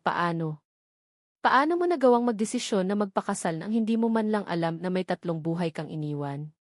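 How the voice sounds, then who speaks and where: A woman's voice: medium pitch, no echo. Filipino woman narrating dramatically, dry close sound. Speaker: story narrator. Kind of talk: first-person dramatic scripted narration of a love story.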